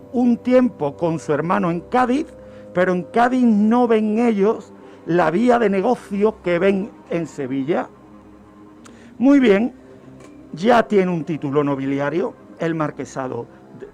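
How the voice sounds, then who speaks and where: A man's voice: high-pitched, a little mellow. A man telling historical events on the radio in a didactic way, asking questions and answering them himself. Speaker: guest criminologist telling crime history. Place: radio studio. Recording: music bed behind the voice. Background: background music.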